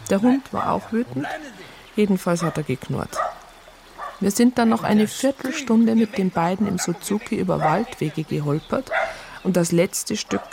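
A woman's voice: mid-range voice, lively intonation, normal speed, gravelly, a crowd in the background. An actress playing an earnest, aggrieved woman who narrates events in a conversational, detailed way with a southern German accent. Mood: wry recounting. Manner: conversational, detailed, exasperated storytelling. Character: earnest, aggrieved female doctor recounting a police case. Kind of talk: first-person radio drama narration.

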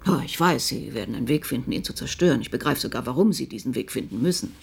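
A woman playing a principled, defiant professional in a German radio drama, speaking firmly and with measured emphasis. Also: a bass voice, lively intonation, creaky, slight background noise, exaggerated voice. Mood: quietly defiant.

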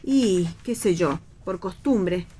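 A woman's voice: on the low side, animated, takes it slowly, warm tone, slight background noise. An actress playing a chatty, inquisitive and naive mother with old-fashioned, homely tastes in a radio play reading. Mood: chatty, fussing. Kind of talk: radio read theatre dialogue.

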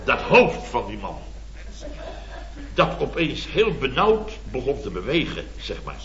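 An actor playing an exasperated, blustering boss in an old radio comedy, telling indignant tales with mimicked quotes. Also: male voice, mid-range voice, wildly swooping pitch, unhurried, fairly bright tone, a little hiss or hum. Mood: gleefully appalled. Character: blustering, exasperated male boss of a building firm. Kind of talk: 1970s Dutch radio comedy acting. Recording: muffled old radio recording, roomy, studio audience.

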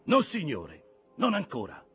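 A man voicing a dutiful, cautious first mate in an audiobook sea drama, husky, deferential and earnest, yet firm. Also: a little high, wildly swooping pitch, slow, a bit bright, faint music behind, full character voice. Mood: guarded, earnest.

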